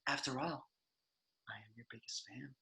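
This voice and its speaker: A man's voice: medium pitch, fairly even intonation, normal speed, gravelly, pushed and bright. A man playing a gloating young supervillain with a grudge in a script read-through, boastful and theatrical. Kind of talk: online table read of a film script.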